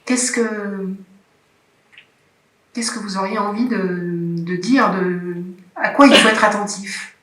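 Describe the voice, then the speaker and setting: A woman's voice: medium pitch, slow pace, long pauses, a bit bright. A woman moderating a workshop discussion in a conference room, searching for words with frequent restarts. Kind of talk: hesitant spontaneous moderation. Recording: roomy conference hall sound.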